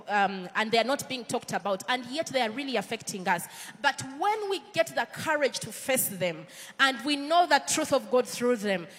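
A woman's voice: a little high, a bit bright, long reverb tail. A woman with a Ugandan accent preaching a sermon in church, earnest and conversational, with frequent fillers and restarts.

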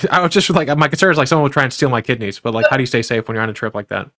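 A man's voice: medium pitch, lively intonation, very fast, bright, forceful tone. A man hosting a podcast, asking rambling questions full of restarts and fillers. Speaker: podcast host. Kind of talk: casual interview chat.

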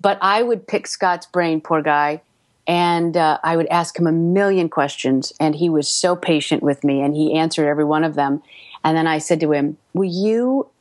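A woman's voice: low voice, normal speed, no room sound. A woman telling a story during an interview, in a chatty, rambling way with many fillers and restarts. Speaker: audiobook narrator and guest.

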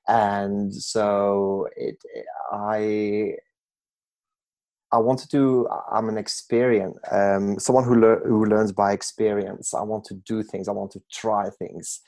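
A man in his forties from Belgium, telling his own story as a podcast guest in a reflective, measured way with some self-corrections. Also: lowish voice, warm tone, dry recording. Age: 40s. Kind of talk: reflective personal storytelling in an interview.

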